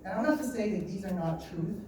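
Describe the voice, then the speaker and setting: A man in his late twenties giving a live talk at a design meetup. He talks fast and a bit nervously, with lots of fillers and restarts. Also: high voice, expressive. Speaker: guest speaker at a design meetup. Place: meeting room in an office. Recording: echoey room, distant mic, background noise.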